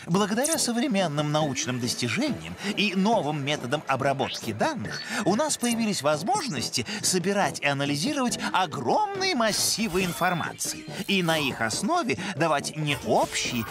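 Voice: medium pitch, wildly swooping pitch, normal speed, few pauses. An actor voicing a learned, theatrical mentor in an educational cartoon, with a pompous and enthusiastic manner. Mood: eager explaining.

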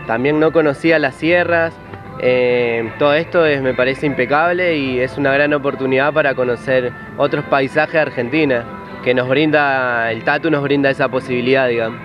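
A man's voice: medium pitch, fairly even intonation, normal speed. A man in his twenties from Peru, talking casually in an outdoor interview into a handheld microphone. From Lima, Peru. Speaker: tattoo artist being interviewed. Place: outdoor patio. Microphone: handheld reporter microphone. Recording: dull sound with music behind. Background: music.

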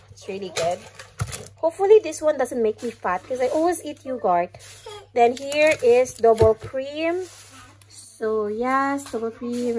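A woman from the Philippines with a Filipino accent, chatting casually to viewers in her kitchen and often trailing off softly. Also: a little high, slight background noise. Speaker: vlogger. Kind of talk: casual grocery haul chat. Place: home kitchen, indoors.

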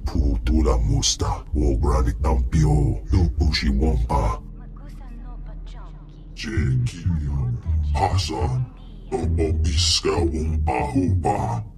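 An extremely deep male alien voice, slow and weighty. He is an imposing game character speaking an invented tongue, with music playing clearly behind. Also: full character voice. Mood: gruff, emphatic. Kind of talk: video game voice acting.

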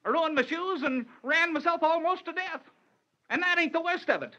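High-pitched, exasperated country constable. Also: male voice, moderate pace, heavily acted. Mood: aggrieved complaining. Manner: excitable, folksy, plaintive. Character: harassed, exasperated male rural constable chasing a heifer. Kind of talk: 1940s radio comedy sketch acting. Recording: dull old radio recording, noisy.

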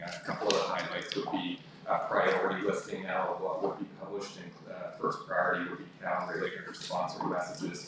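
A man with a Canadian accent, a communications manager, briefing a town council and summarizing points in a measured way with fillers. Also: deep voice. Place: large council chamber. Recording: echoey room from far off, background noise.

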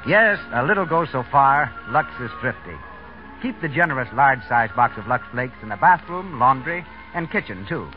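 Male American announcer, 1930s radio advertising style, lively swings in pitch, dull old broadcast recording. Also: moderate pace, soft background music. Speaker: commercial announcer.

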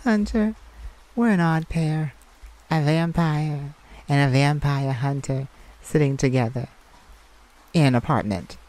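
A woman playing a caring, teasing vampire in an intimate ASMR roleplay, speaking gently and soothingly with sighs and pauses. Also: sits low, poor audio. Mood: playful, confiding. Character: caring, teasing, sympathetic vampire confiding in a hunter. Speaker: audio roleplay performer.

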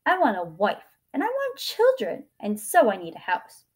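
A woman's voice: on the high side, wildly swooping pitch, normal speed, husky, heavily acted. A woman voicing a needy boy in a children's story, plaintive and demanding. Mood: insistent.

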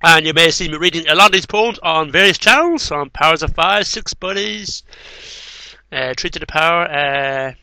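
Man, thirties, very bright sharp voice, animated pitch, amateur poetry reading, headset mic. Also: normal speed, dry recording. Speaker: amateur poetry reader and video maker. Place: small cluttered bedroom. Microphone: headset microphone.

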